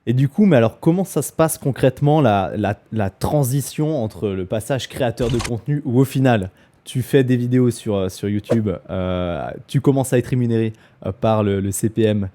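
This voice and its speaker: A man's voice: medium pitch, moderate pace, mellow. A man hosting a podcast, chatting casually and eagerly while he asks his guest probing questions. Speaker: podcast host.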